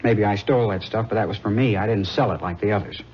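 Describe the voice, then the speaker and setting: A man's voice: lowish voice, fast talker, natural voice. A man playing a sullen, evasive nineteen-year-old American petty thief in a 1950s radio drama, curt and defensive. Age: young adult. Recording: muffled, slightly noisy 1950s radio recording.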